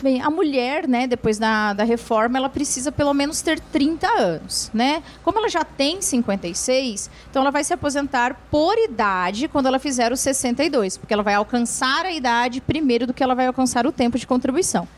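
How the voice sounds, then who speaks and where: A woman's voice: high-pitched, animated, brisk, few pauses, a little hiss or hum. A woman lawyer answering viewer questions on a TV show, explaining patiently with frequent tag questions and restarts. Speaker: lawyer, guest expert.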